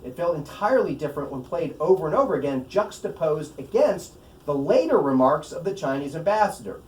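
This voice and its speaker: A man's voice: fairly high pitch, sing-song, fairly quick, darkish tone. A man giving a live reading from his own novel as its author, breaking off for wry explanatory asides. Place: room at a live bookstore event. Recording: roomy, distant mic, faint noise.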